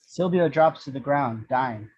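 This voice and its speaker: A man's voice: medium pitch, measured pace, a little mellow, slightly rough. A man reading scripted action descriptions as the narrator of an amateur table read, in muffled audio.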